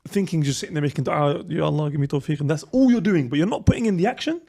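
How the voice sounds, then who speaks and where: A man from Libya talks quickly and earnestly as a podcast guest. His tone is mellow and dark, his pitch a little high. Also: creaky. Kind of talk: casual religious podcast chat.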